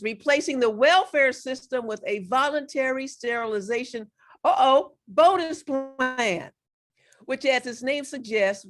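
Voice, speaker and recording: A woman giving a lecture as a guest speaker, earnest, with her voice cracking from overuse. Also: fairly high pitch. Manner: earnest, reads quotes aloud, frequent restarts. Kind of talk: lecture presentation.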